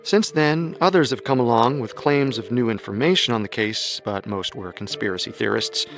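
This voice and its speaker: Man, mid pitch, measured true-crime narrator, skeptical, soft music bed. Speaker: narrator and co-host.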